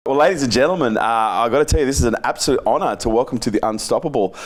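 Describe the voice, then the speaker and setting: A man hosting a podcast interview, effusive and halting, with many fillers. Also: medium pitch. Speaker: podcast host. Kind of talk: casual interview chat.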